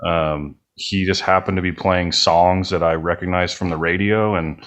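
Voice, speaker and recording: A man's voice: deep voice, steady tone, moderate pace, fairly bright tone. A man from California with an American accent, telling casual anecdotes as a musician guest on a podcast. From California, United States.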